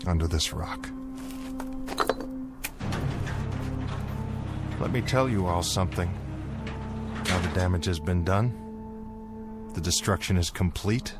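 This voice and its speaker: Solemn, earnest man over loud music. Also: low voice. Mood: bitter, resigned. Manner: earnest, solemn, measured, moralizing. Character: grave, pleading male guardian of a forbidden cave. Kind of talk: 1960s television drama acting. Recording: old television soundtrack with loud music.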